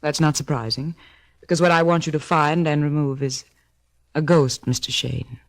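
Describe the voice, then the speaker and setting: An actress playing a cool, imperious young heiress from a decadent family in an old-time radio drama. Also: female voice, low-pitched, fairly even intonation, moderate pace. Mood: commanding. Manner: haughty, self-assured.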